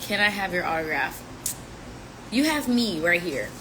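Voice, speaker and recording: A woman's voice: on the low side, very animated, a little slow. A woman in her twenties chatting casually and teasing while she answers fan questions on a live stream, with playful rhymes. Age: 20s. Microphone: phone. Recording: audio from a phone live stream with background noise.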